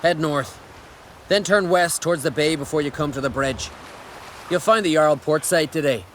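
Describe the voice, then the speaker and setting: Game casting: male actor as crude, gossipy islander, fairly high male voice, blunt and folksy, over noisy outdoor ambience. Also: moderate pace, fairly bright tone, close to an everyday voice. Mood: gossipy, wry. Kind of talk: video game voice acting.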